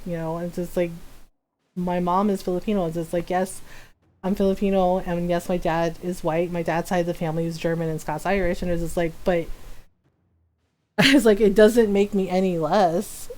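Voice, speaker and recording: A woman's voice: medium pitch, rough recording. A middle-aged American woman live streamer chatting casually, telling personal stories and acting out quoted dialogue as she goes. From United States. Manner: animated retelling with acted-out quoted dialogue.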